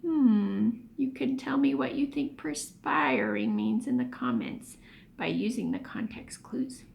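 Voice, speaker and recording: Lady teacher doing a kids read-aloud, really gravelly fry, slow, swoopy expressive delivery. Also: a little high. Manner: expressive, pausing for emphasis. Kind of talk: children's story read-aloud with word explanations.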